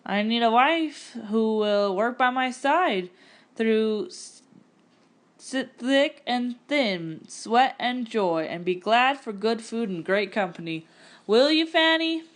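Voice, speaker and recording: A woman reading a storybook as a short, cheerful farmer, kindly and teasing, with a gentle, plain manner. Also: fairly high pitch, expressive, takes it slowly. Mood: sincere, hopeful.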